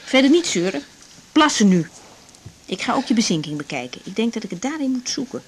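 A slightly noisy old radio drama recording carries a young woman doctor. Her voice sits a little high. She is brisk, clipped and in charge, yet caring. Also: big pitch swings. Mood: brisk, bossy. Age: young adult. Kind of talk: naturalistic radio drama dialogue.

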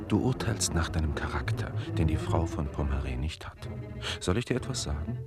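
Deep, creaky, sceptical gentleman. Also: big pitch swings, normal speed, over loud music, heavily acted. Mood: admonishing, foreboding. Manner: pondering, admonishing. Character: sceptical, philosophising gentleman, scolding his servant companion. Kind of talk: German radio play acting.

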